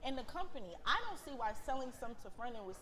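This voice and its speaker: Woman playing a blunt factory manager, slightly high voice. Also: animated, quick pace, bright, forceful tone, a little hiss or hum. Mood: exasperated. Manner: blunt, exasperated. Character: fed-up, outspoken, principled factory manager. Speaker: actor in a staged reading. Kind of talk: staged play reading.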